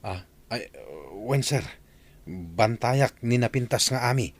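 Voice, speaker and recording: A male actor plays a timid guard in a radio comedy, husky and swinging in pitch. He is hesitant and deferential, with an Ilocano accent. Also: animated, slow pace, no room sound, exaggerated voice. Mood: nervous, obedient.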